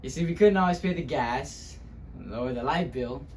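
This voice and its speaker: A man playing a wounded young Mexican-American man in a dramatic monologue about a hard childhood, speaking haltingly with long pauses. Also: mid-range voice, a little mellow, a little hiss or hum, natural voice. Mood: wistful, earnest. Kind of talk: dramatic interpretation monologue, speech-competition acting.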